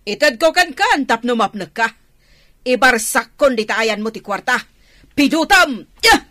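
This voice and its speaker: A woman's voice: fairly high pitch, expressive, unhurried, bright, forceful tone, slight background noise. A woman with a Filipino accent playing a haughty, scornful rich mother who snaps insults in a radio drama. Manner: scornful, snapping.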